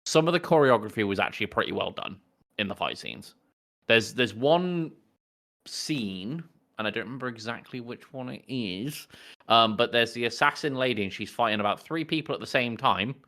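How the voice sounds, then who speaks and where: A man's voice: mid-range voice, lively intonation, moderate pace, sharp tone. A man co-hosting a podcast, talking casually as he reviews films. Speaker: podcast co-host. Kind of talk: casual film review chat.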